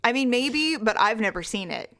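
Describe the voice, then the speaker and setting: A woman in her forties co-hosting a podcast, chatting casually with her sister, blunt and frank and quick to laugh. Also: on the high side, expressive, pushed and bright. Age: 40s. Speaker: podcast co-host. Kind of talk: casual chat.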